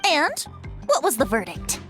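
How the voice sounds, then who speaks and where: Whimsical young male bard for a game: high, very animated, flowery and teasing. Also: measured pace, heavily acted. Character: dainty young male bard, playful, sly, whimsical drinker. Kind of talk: animated video game voice acting. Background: music.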